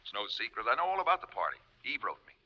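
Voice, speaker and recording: A man's voice: lowish voice, rapid-fire, heavily acted. An actor playing a brash, affectionate man teasing his sweetheart over the telephone in an old radio drama. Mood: casual. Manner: teasing, energetic. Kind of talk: 1950s radio drama acting. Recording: dull, band-limited vintage radio phone scene.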